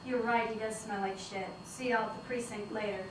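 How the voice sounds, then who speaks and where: A woman's voice: a little high, steady tone, fairly quick, lightly acted. An actress reading a brusque male uniformed cop, at a table read. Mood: gruff. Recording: echoey room, distant mic, background noise.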